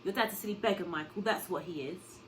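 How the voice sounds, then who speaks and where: A woman's voice: on the low side, fast talker, slight background noise, natural voice. A woman voicing an emotional, emphatic mother while reading a storybook aloud. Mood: upset, exasperated. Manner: emphatic, exclaiming.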